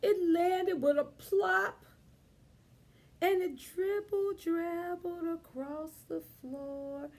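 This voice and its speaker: A woman's voice: extremely high pitch, steady tone, very slow. A woman leading a children's storytime, reading aloud and chatting expressively to young listeners.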